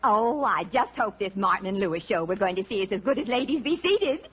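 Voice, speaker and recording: A woman's voice: mid-range voice, big pitch swings, a little fast, a bit bright. A woman playing a gushing, tactless, star-struck show fan in 1940s radio comedy. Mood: chatty, eager. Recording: dull, noisy old radio recording.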